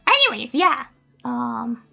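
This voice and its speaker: A woman with a high voice and a gravelly edge chats casually on a live stream, giggling often and filling pauses with "um" and "like". She is a native Japanese speaker. Faint music plays behind her. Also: sing-song, pushed and bright. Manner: chatty, giggly, many fillers.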